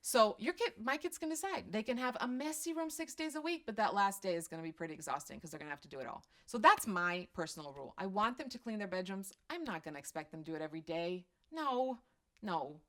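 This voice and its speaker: A woman's voice: mid-range voice, expressive, quick pace, a bit bright. A middle-aged woman from Croatia with a slight Croatian accent, chatting casually about parenting and answering viewer questions on a live stream, candid and self-deprecating.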